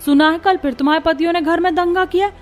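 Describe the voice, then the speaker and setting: A woman's voice: extremely high pitch, steady tone, fast talker, soft background music. A woman voicing a mocking, gossipy village neighbour in a cartoon, taunting with false pity. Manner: taunting, mock-pitying.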